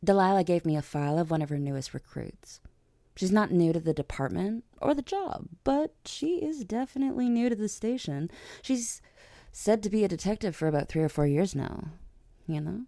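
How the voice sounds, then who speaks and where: Vampire queen , played by a woman: haughty and sardonic, lowish creaky voice, condescending audio-drama delivery. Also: lively intonation, moderate pace, mellow, dry recording. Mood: sly, teasing. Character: haughty, powerful vampire queen, teasing and world-weary. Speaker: voice actor in an audio roleplay. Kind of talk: ASMR audio drama acting.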